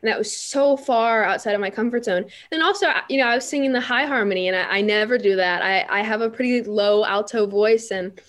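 A teenage girl talks as a guest in an interview, her voice sitting at an ordinary middle pitch. She tells her stories in a chatty, animated way, stumbling over restarts and fillers as she goes. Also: brisk, few pauses. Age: teens. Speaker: guest musician. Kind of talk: interview storytelling.

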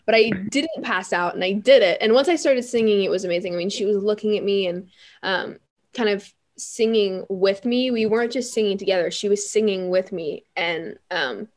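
A teenage girl talks as a guest in an interview, her voice sitting at an ordinary middle pitch. She tells her stories in a chatty, animated way, stumbling over restarts and fillers as she goes. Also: moderate pace. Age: teens. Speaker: guest musician. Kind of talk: interview storytelling.

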